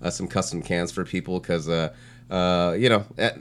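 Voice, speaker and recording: A man with a fairly low voice hosts a loose, casual conversation. He rambles in slang, padding his sentences with "like" and "you know" as he goes. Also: steady tone, normal speed. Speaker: podcast co-host, street art blogger. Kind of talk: casual chat.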